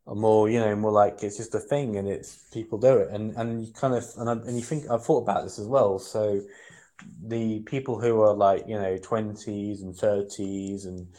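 Male podcast co-host, low-ish voice, rambling, stop-start delivery. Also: fairly even intonation, dark tone. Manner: halting, many restarts and fillers. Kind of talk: rambling casual chat. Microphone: headset.

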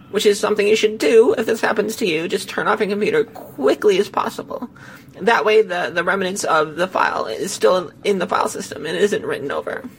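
Hoarse, creaky woman podcaster. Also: mid-range voice, fairly bright tone, slight background noise, rough recording. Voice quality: hoarse, gravelly. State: hoarse, losing voice. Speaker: podcast host. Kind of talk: casual tech storytelling.

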